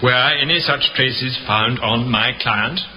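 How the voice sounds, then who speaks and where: An actor playing an insistent, methodical defence barrister with formal speech in a 1950s radio drama, on a dull, noisy recording. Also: male voice, mid-range voice, measured pace, pushed and bright. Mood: probing, insistent.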